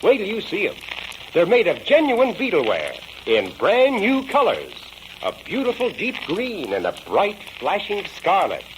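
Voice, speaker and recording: A high-voiced American man comes through a slightly noisy vintage radio recording. He pitches to children with hugely animated, swooping delivery, chummy and exclamatory like a 1940s announcer. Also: measured pace.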